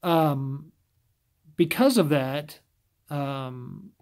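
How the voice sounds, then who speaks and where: A man's voice: on the high side, extremely slow, a little mellow. Scratchy-voiced man, stumbling over words. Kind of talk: casual talk to camera.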